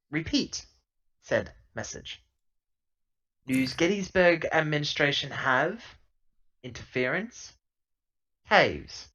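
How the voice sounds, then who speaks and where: A man's voice: medium pitch, bright, forceful tone, exaggerated voice. A man playing an earnest, defensive female starship crew member in an improvised sci-fi tabletop game, speaking hesitantly and explaining. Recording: dull, lo-fi laptop audio.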